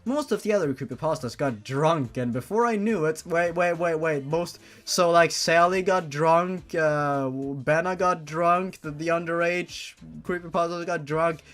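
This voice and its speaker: A man's voice: on the high side, a little slow, few pauses, a bit bright. A man reading a story aloud for comedy, breaking off into mocking, incredulous asides. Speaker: reader and commentator. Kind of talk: sarcastic comedy reading of fan fiction.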